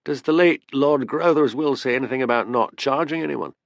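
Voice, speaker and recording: A man voicing a proud, easily affronted policeman with a Scottish Highland accent, stiff and emphatic, in an audiobook story. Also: brisk, no echo, muffled recording, natural voice. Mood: practical.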